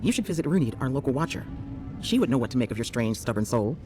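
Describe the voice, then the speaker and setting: An actress playing a brisk, caring female healer in a fantasy video game, inquisitive and expressive. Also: extremely low pitch, over music. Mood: earnest, advisory.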